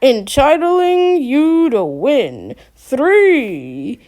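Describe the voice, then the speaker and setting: A woman's voice: high voice, sing-song, drawn-out words, darkish tone, voice pushed up, heavily acted. A woman voicing an awkward teenage boy who puts on a hammy announcer voice, then turns meek and unsure. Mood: mock-grand. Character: awkward teenage boy doing a pompous radio host bit.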